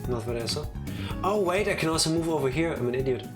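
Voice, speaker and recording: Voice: mid-range voice, expressive, quick pace, over music. A streamer chatting casually while playing a game. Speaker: let's play streamer.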